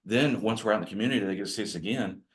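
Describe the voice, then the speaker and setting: Male trainer, low growly voice, folksy and self-deprecating, workshop delivery. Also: rapid-fire. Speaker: real estate agent training other agents. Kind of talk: casual workshop talk to a group.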